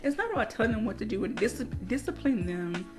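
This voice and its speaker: A woman's voice: on the high side, big pitch swings, fast talker, music in the background. Conversational woman hosting community radio. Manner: conversational, affirming, laughs easily. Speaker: radio host.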